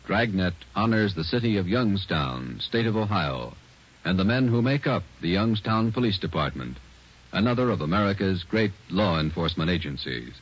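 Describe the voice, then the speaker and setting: A man giving solemn, formal scripted announcements in a measured 1940s radio style. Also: deep voice, sing-song, husky. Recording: muffled old radio recording.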